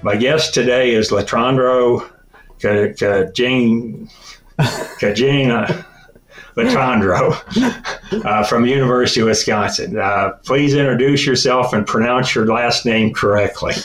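A man hosting a podcast, asking questions in a plain, halting conversational way. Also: medium pitch. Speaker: podcast host. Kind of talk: conversational interviewing.

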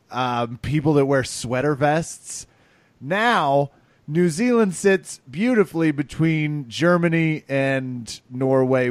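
Man, podcast co-host, sarcastic trash talk, slightly high voice, dry recording. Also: dry recording. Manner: sarcastic, jokey, laughs often. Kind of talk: casual chat, comedic trash talk. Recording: dry, close sound.

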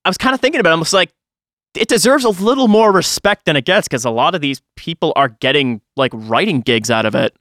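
A man co-hosting a podcast, talking casually in an animated, slangy way. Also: a little high, fast, no room sound, studio quality. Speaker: podcast co-host.

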